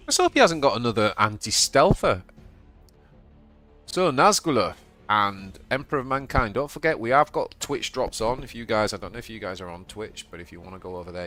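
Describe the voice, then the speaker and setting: A man with a Yorkshire accent streaming a card game live, chatting to viewers and yelping with excitement at surprises. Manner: excitable, bursts into high yelps at surprises. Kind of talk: live gameplay commentary.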